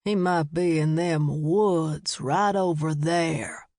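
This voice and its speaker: A woman as a slow, stubborn man. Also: low-pitched, a little mellow, dry recording, studio quality. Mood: ominous. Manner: slow, deliberate, grave. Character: stubborn, grimly worried small-town Southern man.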